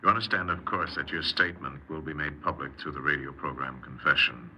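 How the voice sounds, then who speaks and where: An actor playing a calm, formal official who prompts a confession in a 1950s radio crime drama. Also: male voice, extremely low pitch, brisk. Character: calm, official male interrogator taking a confession. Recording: very noisy 1950s radio recording.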